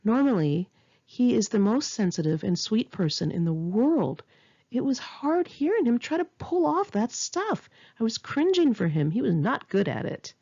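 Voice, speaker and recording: Woman, bright husky voice, very expressive, sarcastic indignant storyteller, memoir audiobook reading, slightly muffled home recording. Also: fairly high pitch, normal speed. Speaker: author reading own memoir aloud. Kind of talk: expressive audiobook narration of a first-person memoir.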